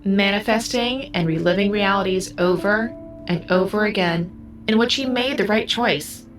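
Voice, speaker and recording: Audio drama role, female actor: computerized seer, female, gentle and grave, measured delivery, mid-range voice over music. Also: medium pitch, lightly acted. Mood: solemn, sad. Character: gentle, solemn, all-seeing female computerized being, new to humanity. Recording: music under the voice.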